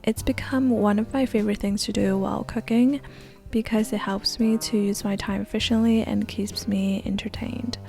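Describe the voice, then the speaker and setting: Woman in her 20s from Canada, soft near-whisper voice-over, vocal fry, mid pitch. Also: steady tone, normal speed, over music. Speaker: language learning vlogger. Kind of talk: scripted voice-over narration.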